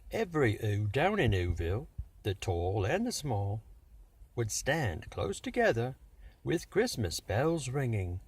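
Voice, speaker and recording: Guy reading a rhyming storybook, fake british-aussie accent, really animated and unhurried, faint noise behind. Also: medium pitch, slightly rough. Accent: put-on British-Australian. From United States. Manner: theatrical, drawn-out emphasis. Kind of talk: dramatic rhyming storybook narration.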